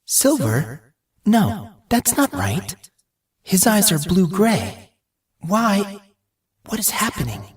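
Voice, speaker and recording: A man's voice: high-pitched, huge pitch swings, takes it slowly, pauses often, distant mic, voice pushed up, exaggerated voice. A man voicing a worried, caring teenage girl in an audiobook. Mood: bewildered, anguished. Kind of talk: audiobook character acting.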